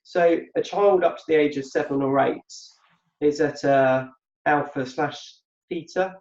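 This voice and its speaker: Gravelly man explaining, echoey muffled sound. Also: a little high, unhurried, a little mellow. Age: adult with a past business. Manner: thoughtful, repeats words as he thinks aloud. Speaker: podcast co-host. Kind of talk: reflective conversational explanation.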